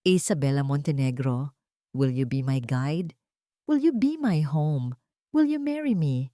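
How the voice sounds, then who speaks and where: Woman voicing an earnest, mellow young Filipino man in love. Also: deep voice, expressive, measured pace, dry recording, studio quality. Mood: tender proposal.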